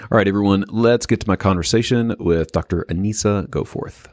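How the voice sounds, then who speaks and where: A man's voice: fairly low pitch, brisk, darkish tone. A man hosting a podcast, friendly and affirming, chatting casually with his guest. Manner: friendly, affirming, lots of mm-hmms. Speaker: podcast host. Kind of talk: casual interview chat. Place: remote from Colorado.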